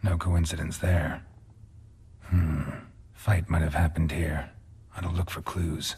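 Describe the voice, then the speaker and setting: A grizzled fantasy game monster hunter, with a low, extremely husky, gravelly voice. He speaks in terse, clipped phrases with a dry, deadpan calm that never rises. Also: slow, heavily acted. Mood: terse, probing. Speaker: player character in a fantasy role-playing game. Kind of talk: video game voice acting.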